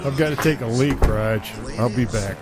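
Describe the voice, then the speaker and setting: A man's voice: mid-range voice, moderate pace, lightly acted. An actor playing a carefree, crude male cop in buddy-cop comedy banter, with music behind him. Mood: unbothered. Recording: music and street noise behind.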